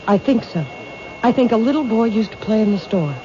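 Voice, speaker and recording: A woman's voice: on the high side, lively intonation, a little fast, warm tone, lightly acted. An actress playing a frightened woman witness, hesitant and earnest, in a radio mystery drama. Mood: anxious, puzzled. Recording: muffled, noisy old radio drama recording.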